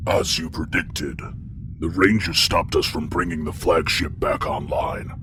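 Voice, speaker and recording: A man's voice: very deep voice, measured pace, background noise. A man playing an obedient winged alien warrior in an audio drama, formal and deferential. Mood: dutiful.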